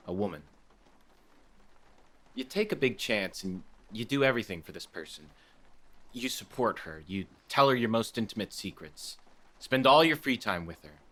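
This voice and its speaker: A man's voice: on the low side, sharp tone. An actor playing a despondent, brooding 1930s policeman in a radio play, gloomy and self-pitying.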